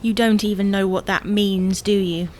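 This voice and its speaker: A woman's voice: medium pitch, steady tone, moderate pace, darkish tone, a little hiss or hum, lightly acted. A woman playing an exasperated, image-conscious wife who scolds and bosses in a domestic comedy audio drama. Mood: impatient. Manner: bossy, scolding, sarcastic.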